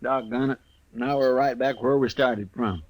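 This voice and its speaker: An actor playing an earnest, flustered deputy sidekick, hesitant and deferential, with a rural American accent, in an old radio western. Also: male voice, medium pitch, steady tone, moderate pace, natural voice. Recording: vintage 1950s radio recording.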